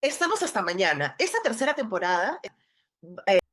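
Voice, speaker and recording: A woman's voice: a little high, big pitch swings, brisk, a bit bright. A woman from Lima with a Peruvian accent, an actress talking casually in an interview, full of restarts and fillers. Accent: Lima Peruvian Spanish. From Peru. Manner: rambling, many restarts and fillers. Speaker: actress guest.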